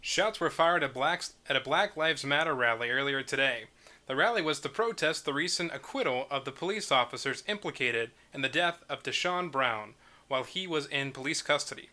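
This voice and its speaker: A man's voice: mid-range voice, lively intonation, normal speed, bright, forceful tone. A man playing a serious young television news anchor at a screenplay table read.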